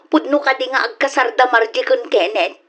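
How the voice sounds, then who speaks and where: A woman's voice: high-pitched, normal speed, a bit bright. A woman with an Ilocano Filipino accent acting as a prying friend on a call in a radio drama. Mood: probing. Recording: dull, narrow band like a phone call.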